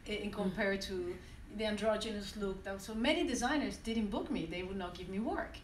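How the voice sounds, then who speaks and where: A woman in her forties from Venezuela, whose first language is French, gives a reflective personal talk to an audience, often pausing and restarting. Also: mid-range voice, a little hiss or hum. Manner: reflective, with many restarts and hesitations. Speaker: conference speaker. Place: university classroom, indoors. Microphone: standing mic, recorded by a phone from the audience. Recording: echoey room from far off.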